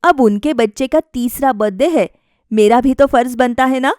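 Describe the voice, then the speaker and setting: A self-assured young wife voiced by a woman narrator with a high voice and a dry recording. She is polite yet defiant, reasoning firmly as in a family storybook drama. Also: moderate pace, a little mellow, no echo, close to an everyday voice. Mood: earnest. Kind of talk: acted dialogue in a family story.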